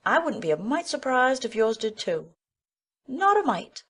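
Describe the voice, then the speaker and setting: A woman acts out an older village matron in a storybook drama, her fairly high voice leaping animatedly around. She is outspoken, hearty and talkative, with folksy country phrasing and a bossy but kindly temper. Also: very animated, unhurried, fairly bright tone, breathy edge, full character voice. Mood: hearty, forgiving. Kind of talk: full-cast audiobook acting.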